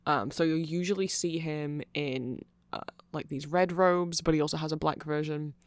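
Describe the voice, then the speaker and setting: Guy doing a rambly nerdy asmr explainer, voice a bit on the high side, really dry recording. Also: fairly even intonation, normal speed, clear, resonant tone, dry recording. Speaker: ASMR audio creator. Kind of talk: casual ASMR explainer of band lore.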